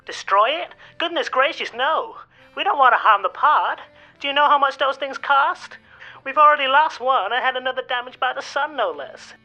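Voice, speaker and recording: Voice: high voice, animated, normal speed, sharp tone, soft background music, heavily acted. An actor playing a mild, polite, penny-pinching official in a sci-fi comedy radio play. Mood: mildly fussy. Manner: polite, chatty, understated. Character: mild, polite, cost-conscious official hiring space pirates. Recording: dull band-limited radio-link sound.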